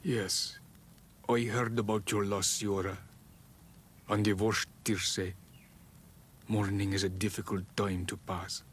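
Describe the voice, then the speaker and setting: An actor playing a wise, solemn elder of an island tribe in a fantasy game, speaking in a measured, ceremonial way. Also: male voice, on the low side, flat delivery, a little hiss or hum. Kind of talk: fantasy video game cutscene acting.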